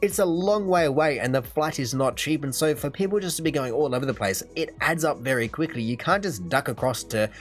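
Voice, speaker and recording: Brief: young Australian guy hosting a reaction channel, brisk patter, hedged and chatty, faint music under. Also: mid-range voice, expressive. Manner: chatty, measured, hedging. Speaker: reaction video host. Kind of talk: casual commentary.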